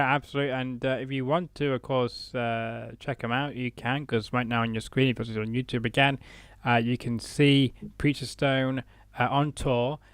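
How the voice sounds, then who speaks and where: Male host, casual questioner with frequent fillers; smooth, resonant tone, dry close recording. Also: medium pitch, dry recording. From United Kingdom. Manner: friendly, lots of "uh" fillers while reading dates. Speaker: interview host.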